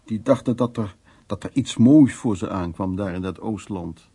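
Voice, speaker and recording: An actor playing an older, frank and dominant man who reminisces intimately in short phrases, in a radio play with a husky, gravelly voice. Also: lowish voice, moderate pace, mellow. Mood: matter-of-fact.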